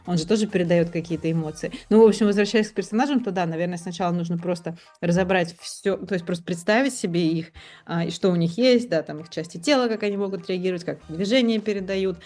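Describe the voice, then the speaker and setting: A woman's voice: fairly low pitch, fast talker, darkish tone. A woman in a casual discussion, thinking aloud in a hesitant, reflective way and often rephrasing herself. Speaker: podcast participant.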